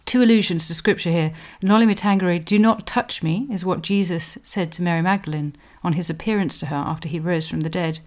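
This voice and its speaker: A woman presenting a scripted history explainer in a measured, scholarly manner, giving emphasis to quotations. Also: fairly low pitch. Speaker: podcast host, historian.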